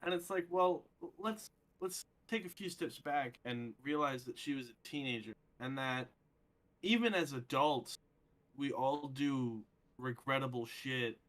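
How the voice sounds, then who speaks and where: A man's voice: mid-range voice, unhurried, fairly bright tone. A young man of twenty in casual live-stream chat, rambling earnestly with many fillers. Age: 20s.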